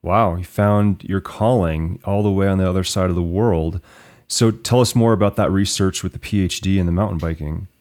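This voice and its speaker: A man's voice: low-pitched, steady tone, normal speed, a little mellow. A man hosting an interview podcast, introducing his guest and asking questions in an upbeat, encouraging way. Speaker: podcast host.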